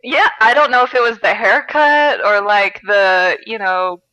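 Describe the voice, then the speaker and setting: A woman's voice: mid-range voice, steady tone, measured pace, bright, forceful tone, dull audio. A woman co-hosting a film podcast, chatting casually in an informal, wry way. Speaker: podcast co-host.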